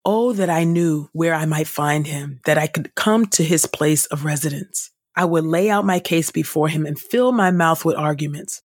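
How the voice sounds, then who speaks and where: Woman reading the bible out loud, kinda husky, careful n formal, super dry close sound. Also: low voice, normal speed, few pauses, dry recording. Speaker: scripture reader and podcast host. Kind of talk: scripted devotional Bible reading.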